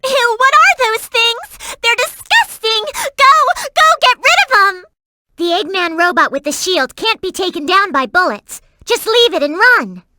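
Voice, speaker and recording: A woman's voice: very high-pitched, very animated, measured pace, very noisy, exaggerated voice. A woman voicing a perky, earnest and bossy young girl companion in a video game, with emphatic delivery. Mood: disgusted. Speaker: in-game hint-giving companion.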